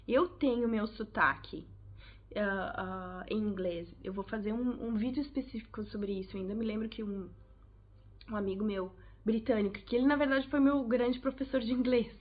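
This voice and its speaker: A woman's voice: fairly high pitch, moderate pace. Chatty Brazilian woman, tinny sound. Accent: Brazilian Portuguese. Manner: chatty, direct, addresses viewers. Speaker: vlogger, English teacher. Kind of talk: casual advice vlog to camera.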